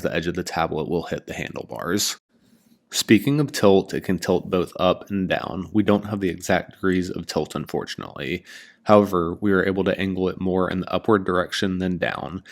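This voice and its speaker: A man reading a scripted product review as host, in a measured, informative way. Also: deep voice.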